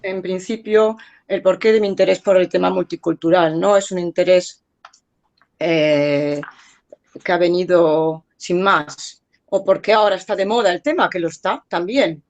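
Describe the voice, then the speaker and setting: A woman, a native French speaker who grew up in Spain and France, giving a measured, reflective conference talk as an artist and art lecturer. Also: lowish voice, darkish tone, lo-fi sound.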